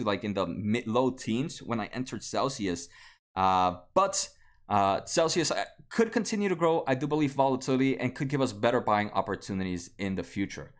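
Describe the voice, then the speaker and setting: Late-20s male streamer, native Spanish speaker, loose rambling delivery with restarts, desk mic at home. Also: mid-range voice. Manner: rambling, many fillers and restarts. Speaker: stock market livestream host. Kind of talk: casual livestream chat answering viewers.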